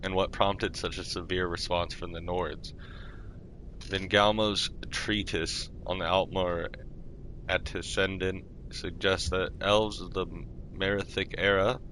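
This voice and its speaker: A man streaming a game roleplay, reading lore aloud in a measured, formal way. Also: low-pitched, sharp tone, slight background noise, low-quality recording.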